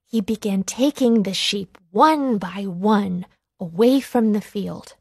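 A woman narrating a children's read-along storybook with careful, deliberate phrasing. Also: mid-range voice, creaky, husky, no room sound, clean studio sound.

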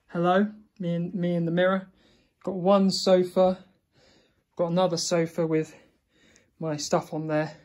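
A young man filming a chatty, meandering tour of a small holiday cottage on a handheld phone, walking from room to room. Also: high-pitched. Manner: chatty and meandering, with fillers and self-corrections. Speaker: vlogger. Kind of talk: casual house tour. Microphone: phone camera held in hand.

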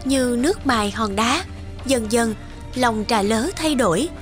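A woman reading scripted narration for an animated folktale as the storyteller. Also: on the high side, unhurried. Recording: music behind the voice.

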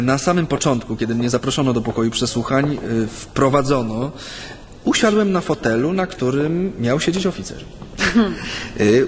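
A man's voice: mid-range voice, slight background noise, natural voice. An actor playing a talkative, wry dissident recounting his past to an interviewer in a radio play, with hesitant, chatty delivery. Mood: amused storytelling. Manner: hesitant, chatty, amused storytelling. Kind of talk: radio drama acting, recounting memories in an interview. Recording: studio radio play with faint music.

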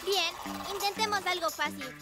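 Casting: confident kid inventor motorbike for animation, tiny high-pitched voice, over loud music. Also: female voice, normal speed. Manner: eager, self-assured. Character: confident, inventive young girl motorcycle, proud of her gadgets. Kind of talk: animated children's cartoon dubbing.

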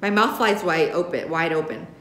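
A woman's voice: fairly low pitch, expressive, moderate pace, fairly bright tone. An American woman reads a novel aloud expressively, recorded in a roomy classroom. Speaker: reader, teacher. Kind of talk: expressive read-aloud of a young adult novel. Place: indoor classroom. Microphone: camera a short distance away.

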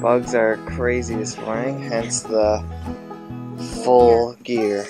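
A man's voice: mid-range voice, level tone, takes it slowly, music in the background. A young man chatting casually to a handheld camera as a travel vlogger out camping. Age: young adult. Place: outdoors in woods and inside a tent. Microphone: handheld action camera at arm's length.

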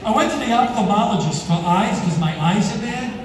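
A man in his sixties doing a stand-up comedy routine on a theater stage, with a deadpan, self-mocking delivery. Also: high-pitched, fairly quick, a little hiss or hum. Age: 60s. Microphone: handheld mic, filmed from far in the audience. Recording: echoey hall with crowd, from far off. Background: audience laughter and applause.

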